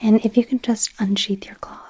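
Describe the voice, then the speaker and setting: A woman voicing an awkward, gentle werewolf doctor in a hesitant, breathy whisper for an ASMR roleplay. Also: mid-range voice, level tone. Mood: gently clinical. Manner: hesitant, halting, softly reassuring. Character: awkward, curious young female werewolf doctor, gentle and apologetic. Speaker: ASMR performer.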